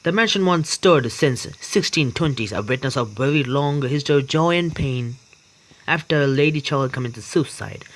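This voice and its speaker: A man hosting a game playthrough, commenting casually and reading aloud in a halting way with stumbles. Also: medium pitch, low-quality recording. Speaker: gaming video host.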